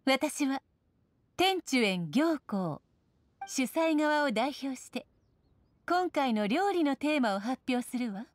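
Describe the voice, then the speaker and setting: A woman's voice: high-pitched, expressive, takes it slowly, dry recording. A woman voicing an elegant, authoritative noblewoman, formal and composed, in a Japanese anime game. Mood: poised, gracious. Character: elegant, poised, authoritative noblewoman serving as judge.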